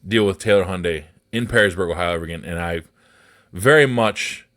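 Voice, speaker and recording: An American man with a bassy voice, hosting a podcast and ranting off the cuff in a blunt, profane, indignant way. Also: a little fast, a bit bright, no room sound, clean studio sound. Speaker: podcast host. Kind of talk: unscripted personal rant.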